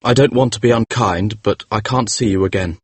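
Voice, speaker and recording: A man voicing a proud young gentleman who coldly rejects his lover with cutting contempt. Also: on the low side, fairly quick, husky, no echo. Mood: contemptuous. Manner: disdainful, cutting.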